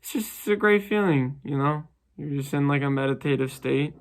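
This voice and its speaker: A man in his twenties, a band member and graduate student, speaking calmly and reflectively in an interview. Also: fairly high pitch, moderate pace. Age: 20s. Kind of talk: documentary interview.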